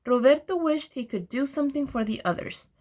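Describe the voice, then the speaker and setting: A woman reading a children's storybook aloud in an expressive storyteller's manner, with deliberate pauses. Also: high-pitched. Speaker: program administrator reading aloud.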